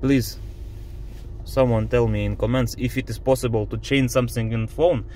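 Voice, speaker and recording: A man with a Lithuanian accent, a native Lithuanian speaker, complains in a car about voice input while showing his phone and dashboard screen. He speaks in halting English with many fillers and sounds frustrated. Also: medium pitch, fairly even intonation, warm tone, a little hiss or hum. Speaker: driver demonstrating a car app. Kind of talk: casual complaint video. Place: inside a parked car.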